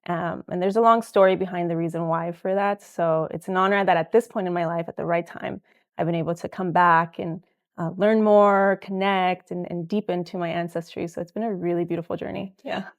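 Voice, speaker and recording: A woman from El Salvador, a guest herbalist, answering interview questions in an earnest, thoughtful way with many soft fillers. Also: lowish voice, fairly even intonation, a little fast.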